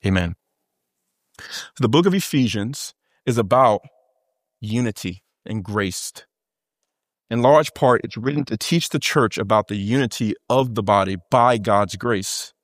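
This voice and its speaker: A man with a deep voice preaches an expository sermon, walking his congregation through the text step by step. He talks to them directly, asking them questions and adding small asides. His voice comes in close and dry on the church microphone. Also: no echo. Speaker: pastor.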